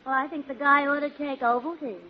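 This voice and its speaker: Woman playing a high-pitched wisecracking radio dame, lo-fi. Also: fairly quick, natural voice. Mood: unimpressed. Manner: deadpan wisecracks, blunt, slangy. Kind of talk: 1940s radio comedy acting. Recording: dull lo-fi 1940s radio, audience behind.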